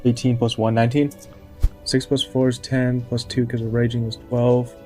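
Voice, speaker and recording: A man's voice: medium pitch, little pitch movement, normal speed, a little mellow. A man playing a tabletop game, casually announcing his actions and adding up dice rolls, with music behind him.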